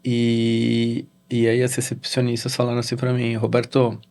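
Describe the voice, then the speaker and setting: A middle-aged Brazilian man with a Brazilian Portuguese accent, a guest in a casual interview, telling a story in halting sentences with frequent "né?" tags. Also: mid-range voice, completely flat delivery, a little mellow. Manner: halting, with many restarts and "né?" tags. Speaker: interview guest, real estate executive.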